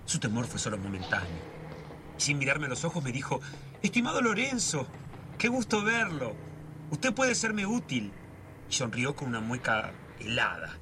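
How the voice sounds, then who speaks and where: A man's voice: mid-range voice, wildly swooping pitch, normal speed, noisy background. A man playing a feverish, suspicious man. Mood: tense. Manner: agitated, feverish, conspiratorial. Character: anxious suspicious man obsessed with a neighbor. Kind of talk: radio drama acting.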